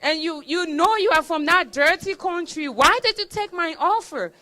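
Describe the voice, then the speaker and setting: A woman's voice: very high-pitched, lively intonation, moderate pace, pitched above the performer's own voice, full character voice. Woman quoting an outraged man. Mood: angry. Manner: loud, incredulous, scolding. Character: indignant man scolding her at a party.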